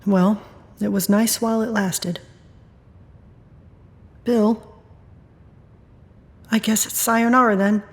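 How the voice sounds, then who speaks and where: A woman's voice: medium pitch, fairly even intonation, slow, long pauses, husky. An actress playing a wry, wounded, stubborn middle-aged woman in an audio drama, with a sardonic, wisecracking way of talking. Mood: wry, bitter. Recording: music under the voice.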